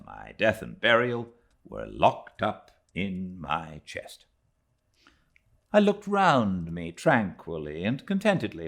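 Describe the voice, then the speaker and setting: A British man narrating a classic novel as an audiobook, in a theatrical, deliberately phrased style. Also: low voice, a bit bright. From Britain. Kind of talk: dramatic literary narration.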